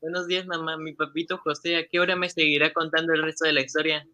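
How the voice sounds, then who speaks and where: A man's voice: a little high, little pitch movement, fast, sharp tone, highs cut off. A man playing an earnest, obedient young schoolboy in a radio drama, with a pleading, affectionate and polite manner. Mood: eager, polite. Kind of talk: amateur Spanish-language radio drama acting.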